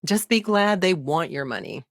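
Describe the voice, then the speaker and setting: A seasoned middle-aged female lawyer from a video game. Her voice sits fairly low and is recorded close and dry. She talks in a wry, matter-of-fact way, principled and determined. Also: lively intonation, moderate pace, dry recording. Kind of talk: video game character dialogue.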